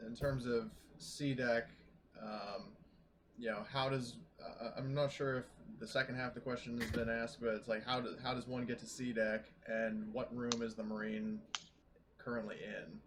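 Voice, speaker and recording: A man's voice: mid-range voice, little pitch movement, moderate pace. A man playing a tabletop game and casually asking questions over a noisy microphone. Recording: noisy microphone with clear background ambience.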